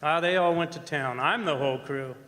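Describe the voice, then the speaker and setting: An actor playing a folksy, easygoing oil rig roughneck who tells his story in a colloquial way, in stage radio drama style. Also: fairly high pitch, brisk, bright, forceful tone. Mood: easygoing, hospitable. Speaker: actor and narrator in a radio play. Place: performing arts center stage. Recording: reverberant hall, music behind.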